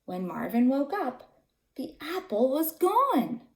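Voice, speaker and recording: Very animated woman reading to young children. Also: sits high, takes it slowly, roomy sound. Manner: simple, emphatic phrasing for small children. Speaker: teacher reading aloud to children. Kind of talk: expressive storybook read-aloud for young children.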